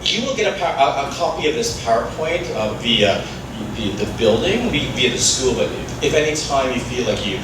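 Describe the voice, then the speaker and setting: A man from the Philippines and Canada, a native Filipino speaker, gives an interactive, conversational talk with self-deprecating asides and frequent check-in questions. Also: medium pitch. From born in the Philippines, moved to Canada when very young. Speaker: education workshop presenter. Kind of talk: interactive live presentation to parents and educators. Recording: echoey room, far from mic, clear noise.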